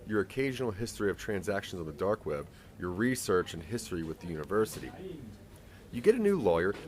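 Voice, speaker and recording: A man giving a dramatic, scripted second-person story narration in an expressive storytelling manner. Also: low-pitched.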